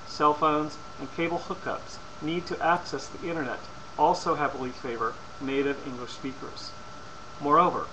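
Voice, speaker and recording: A man with a slightly higher-than-average voice reads out a persuasive, scripted lecture. His delivery is formal and deliberate, with the odd stumble over a word. The recording has clearly audible noise behind him. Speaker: presenter. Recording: clearly noisy amateur recording.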